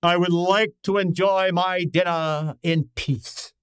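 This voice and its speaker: A man's voice: high voice, steady tone, slow pace, a bit bright, smooth, no echo, studio quality. A man playing a pompous, exacting private detective in an old-time radio drama, with formal, precise and theatrical diction. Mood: formal, pleading.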